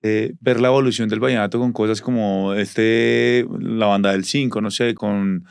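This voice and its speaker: A man's voice: fairly low pitch, fairly even intonation, normal speed, vocal fry, smooth, no room sound, studio quality. A man hosting a casual interview, asking long, winding conversational questions. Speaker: interview host.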